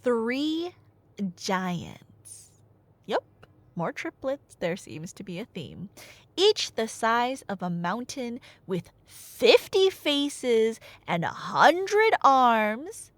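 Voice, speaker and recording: A woman's voice: on the high side, sing-song, very slow, dry recording. A woman performing an audio roleplay as a nerdy girlfriend, telling a story casually with playful asides to an unheard listener. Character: chatty nerdy girlfriend excitedly retelling Greek myths.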